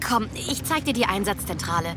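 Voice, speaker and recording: A woman's voice: mid-range voice, lively intonation, fairly quick, music in the background, close to an everyday voice. An actress playing an urgent, businesslike woman at a crisis command in a comedic sci-fi audio drama. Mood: curt, grave.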